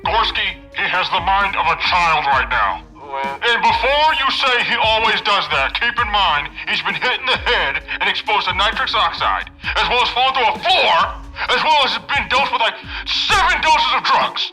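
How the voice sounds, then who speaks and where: An exasperated comms handler, played by a man in a sci-fi audio drama. He sounds very bright and piercing, worried and sarcastic as he guides someone along. Soft music runs behind the voice. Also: sits high, animated, moderate pace, exaggerated voice, poor audio. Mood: frantic. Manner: fretful, sarcastic.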